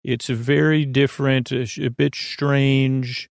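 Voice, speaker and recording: A man with a creaky voice hosting a sleep podcast, talking in a meandering, hesitant monologue that trails off into tangents. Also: medium pitch, flat delivery, slow, dry recording, studio quality. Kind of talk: rambling monologue to help listeners sleep.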